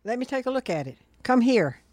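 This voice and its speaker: A woman voicing a brisk shopkeeper haggling over a price. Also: fairly high pitch, sing-song, noisy background, pitched above the performer's own voice.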